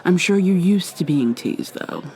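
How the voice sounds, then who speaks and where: A cold, ancient vampire mermaid woman, voiced by a female ASMR performer speaks in a low, teasing half-whisper. Her delivery is unhurried and her tone mellow. A faint murmur of a crowd sits behind her. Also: animated. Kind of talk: ASMR roleplay.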